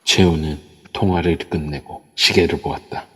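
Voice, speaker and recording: A man narrating an audio drama in a measured storytelling manner. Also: rumbling low, fairly even intonation, a bit bright, breathy edge, long reverb tail. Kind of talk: scripted narration. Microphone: phone app mic.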